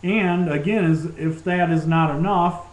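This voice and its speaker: A man's voice: on the high side, unhurried. A man giving an informal, enthusiastic product demonstration as a presenter. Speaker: presenter demonstrating survival gear. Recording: roomy, echoing sound.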